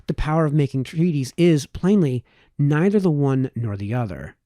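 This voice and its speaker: Male audiobook reader for formal historical prose; relaxed, dark-toned voice with animated intonation, dry recording. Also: fairly high pitch, moderate pace, dry recording. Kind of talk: reading a formal historical essay aloud.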